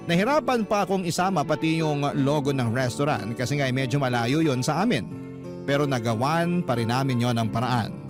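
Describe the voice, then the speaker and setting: A man's voice: mid-range voice, natural voice. An actor playing a sincere, wistful Filipino man confiding his love story as first-person narration in a radio drama. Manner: confiding, earnest.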